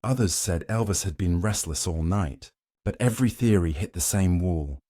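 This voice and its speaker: A man's voice: low-pitched, normal speed, warm tone, no room sound, studio quality. A man narrating a scripted dramatic story in a solemn, suspenseful way, in short weighted phrases.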